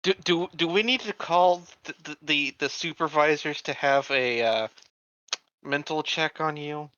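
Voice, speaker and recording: A man's voice: fairly high pitch, measured pace, sharp tone, no echo, muffled recording. A man talking casually as a player in a tabletop game.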